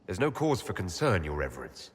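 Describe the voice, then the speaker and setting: An actor playing an earnest, loyal leader of a fantasy game order, with a sincere and direct way of talking. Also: male voice, sits low, wildly swooping pitch, fairly quick, in a large hall. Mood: firm, reassuring. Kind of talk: scripted fantasy game dialogue.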